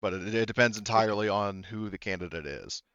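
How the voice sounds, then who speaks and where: Casting: male game master, low smooth voice, rambling improvised narration, slightly muffled recording. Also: brisk, fairly bright tone, no room sound. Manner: rambling, relaying characters' words in reported speech. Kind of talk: improvised tabletop roleplay narration.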